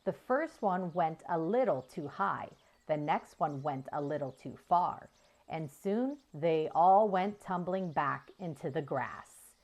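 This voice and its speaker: A woman reading a children's story aloud in an expressive storytelling style. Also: low voice, slow pace. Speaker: children's audiobook reader.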